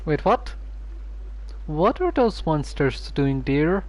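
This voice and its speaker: A male streamer chatting casually over his game. He talks slowly and stumbles over hard words. His voice sits a little high. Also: sing-song, darkish tone.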